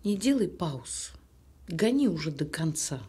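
An actress playing a hurt, sarcastic woman doubting her partner, with a husky voice and a wry, pointed delivery in a naturalistic audio drama. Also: low voice, very animated, a little slow, warm tone, full character voice.

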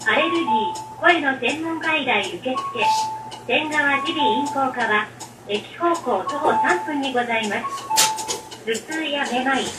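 A woman reading scripted bus announcements in a formal, polite and measured way. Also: high voice, over loud music. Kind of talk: scripted public announcement with stop names and advertisements. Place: played over speakers inside a bus. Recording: roomy, distant, noisy bus cabin. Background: loud vehicle noise.

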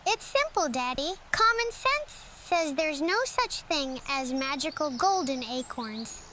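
A woman's voice: high-pitched, big pitch swings, a little slow, bright, forceful tone, a little hiss or hum, heavily acted. A woman voicing an earnest, clever young child in an audio drama.

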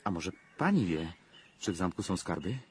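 An actor playing an evasive, guarded tennis coach of twenty in a radio play, hesitant yet matter-of-fact. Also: male voice, deep voice, big pitch swings, moderate pace, slight background noise. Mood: guarded, confiding. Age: 20s.

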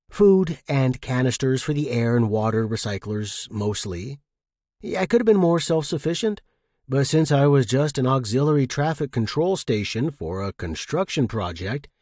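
Husky man, lively reading. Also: medium pitch, no room sound, studio quality. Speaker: podcast host and narrator. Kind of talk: scripted narration.

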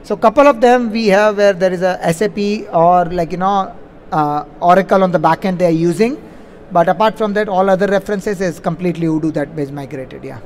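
A man's voice: high voice, brisk. A man answering questions as a conference speaker on stage, talking wordily and padding sentences with a habitual "like, you know". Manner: wordy, repeats "like, you know" as a verbal habit. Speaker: conference speaker, software implementation consultant. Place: on stage in a large conference hall. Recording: faint background noise, live event.

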